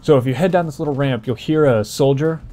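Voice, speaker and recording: A man's voice: mid-range voice, fast, dark tone, faint music behind. Man giving a casual game walkthrough. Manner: informal, explanatory. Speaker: gameplay walkthrough host.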